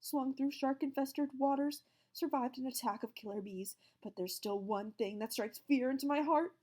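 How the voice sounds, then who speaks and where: A woman voicing an excitable male schoolteacher who stammers and squeaks when frightened. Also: normal speed. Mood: frantic whisper.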